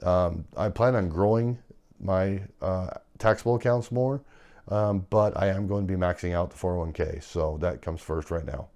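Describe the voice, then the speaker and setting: A man with a hoarse, failing voice, rambling casually through a personal finance update from his room. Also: low-pitched, normal speed, mellow. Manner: rambling, loose, filler-heavy. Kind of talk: casual monthly update talk.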